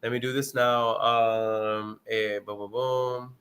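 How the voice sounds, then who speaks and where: A man who buys houses, making a live sales call and then talking excitedly with a friend, saying "bro" often. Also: mid-range voice, slow pace. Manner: upbeat, hyped, says "bro" often. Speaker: house buyer, livestream host.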